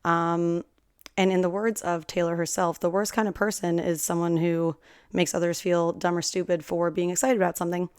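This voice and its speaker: Slightly hoarse woman podcast host, chatty. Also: on the low side, no room sound, studio quality. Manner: chatty and rambling, friendly big-sister tone. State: slightly hoarse from singing at a concert. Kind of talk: casual solo podcast chat.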